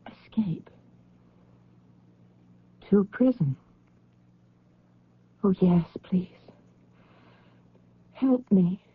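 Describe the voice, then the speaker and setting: A woman's voice: medium pitch, expressive, very slow, long pauses, heavily acted. An actress playing a frightened, guilt-ridden woman in a radio drama, hesitant and fragile as she speaks. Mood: pleading. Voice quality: breathy. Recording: tinny, narrow-band old radio broadcast.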